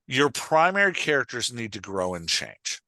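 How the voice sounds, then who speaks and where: A man's voice: medium pitch, big pitch swings, moderate pace, bright, forceful tone, dry recording. A man hosting and coaching in a conversational, explanatory way, often checking that the listener follows. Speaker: podcast host and writing coach.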